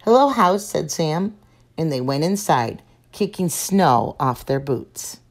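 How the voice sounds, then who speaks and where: A woman reading a children's picture book aloud in a slow, gentle and expressive way. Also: sits low. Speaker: storyteller.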